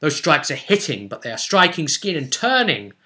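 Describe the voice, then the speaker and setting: A man's voice: a little high, big pitch swings, normal speed, sharp tone. A man narrating a tabletop role-play as game master, theatrical and dramatic, in short punchy phrases.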